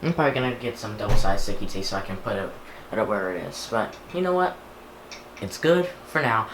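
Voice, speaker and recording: A man's voice: fairly low pitch, lively intonation, fairly quick. Guy doing a casual unboxing vlog, kinda muttering as he goes, echoey room, noisy background. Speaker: hobbyist vlogger unboxing gadgets. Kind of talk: casual unscripted chat while handling a device.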